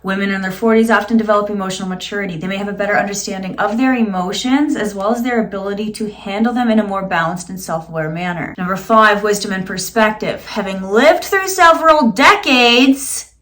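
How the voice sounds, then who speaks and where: A woman's voice: mid-range voice, normal speed, a bit bright. A woman in her late thirties, a vlogger who reads search results aloud and pokes fun at them with dry, sarcastic asides. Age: 30s. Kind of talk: casual vlog reading and commenting.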